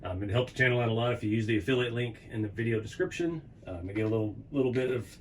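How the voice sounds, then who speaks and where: A man hosting a hobby vlog from his workshop, casually rambling and chuckling, his voice going hoarse. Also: mid-range voice, racing, slight background noise, rough recording. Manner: relaxed, rambling, chuckles often. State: losing his voice. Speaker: hobby channel host. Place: home workshop.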